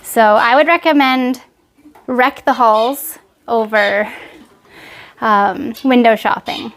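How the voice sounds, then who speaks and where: A woman's voice: a little high, takes it slowly. A woman talking casually to her viewers as a book vlogger, giving an informal review.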